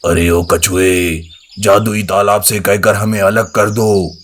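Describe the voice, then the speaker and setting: A man acts out a proud lion who rules a cartoon jungle. His voice sits very low and moves slowly. He speaks in a haughty, commanding way, as a king who expects to go first. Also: flat delivery. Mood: annoyed. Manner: haughty, commanding, drawn-out delivery. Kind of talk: Hindi animated moral story dialogue.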